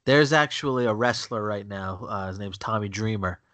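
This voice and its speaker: A man's voice: on the low side, moderate pace, a bit bright, dry recording. Blunt, stuffy-nosed man chatting. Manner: blunt, opinionated, repeats himself. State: stuffy nose from a cold. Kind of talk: casual chat. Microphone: remote call connection.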